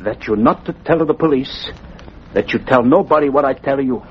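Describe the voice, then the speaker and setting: A man's voice: mid-range voice, normal speed, natural voice. An actor playing an anxious, pleading Italian immigrant father in a radio drama, speaking accented broken English and referring to himself in the third person. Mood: insistent, pleading. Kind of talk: 1940s radio acting. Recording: dull, noisy old radio recording.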